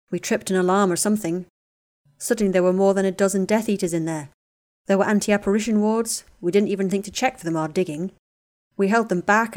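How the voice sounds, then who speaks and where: Woman reading shaken young man, blunt, loud, tense. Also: mid-range voice, natural voice.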